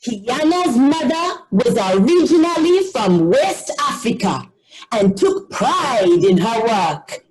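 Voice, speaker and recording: A woman reading a children's story aloud as an expressive scripted narration. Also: on the high side, slow, crowd noise, poor audio.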